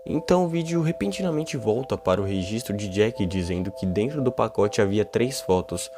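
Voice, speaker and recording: A man with a Brazilian accent narrating and explaining a horror video in an informal way. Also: mid-range voice. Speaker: YouTube narrator. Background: music.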